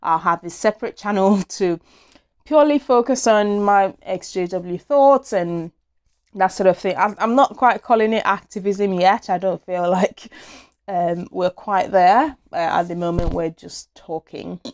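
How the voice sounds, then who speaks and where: A woman's voice: medium pitch, unhurried, darkish tone. A young woman from Nigeria in a small indoor room, telling her own story to camera in a casual, open way, with many fillers and self-corrections, recorded on a lapel mic. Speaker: vlogger. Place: small indoor room against a plain wall. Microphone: small clip-on lapel mic.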